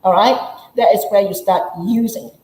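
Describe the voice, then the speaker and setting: A woman's voice: mid-range voice, fairly even intonation, moderate pace, warm tone, roomy sound. A woman English teacher from Malaysia with a Malaysian English accent, giving a chatty workshop lesson and playing out her students' questions.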